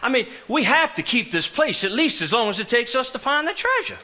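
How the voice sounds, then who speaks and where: A man playing an eager, greedy, pushy treasure hunter in a live stage drama, with an excitable manner. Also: extremely high pitch, a little fast, exaggerated voice. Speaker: stage actor.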